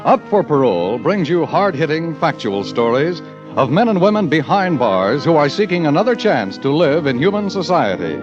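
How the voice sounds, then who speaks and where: A man's voice: on the high side, big pitch swings, normal speed. A man announcing formally and dramatically in scripted 1950s radio style. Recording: muffled 1950 radio broadcast, music. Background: music.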